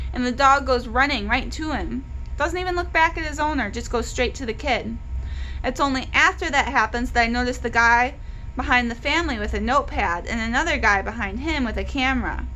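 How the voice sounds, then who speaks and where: An earnest, principled dad from a children's storybook, voiced by a woman explains himself in measured, pointed phrases. The voice is bright and fairly high, with faint noise behind it. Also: poor audio. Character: earnest, weary, principled father, a journalist.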